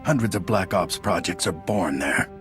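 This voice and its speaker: Game casting: cold, scheming secret agent, lowish voice, sardonic and superior, prominent score behind. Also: male voice, expressive, normal speed. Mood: smug, explanatory. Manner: sardonic, condescending. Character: cynical, scheming male intelligence agent, coldly condescending. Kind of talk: video game dialogue acting. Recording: music clearly behind the voice.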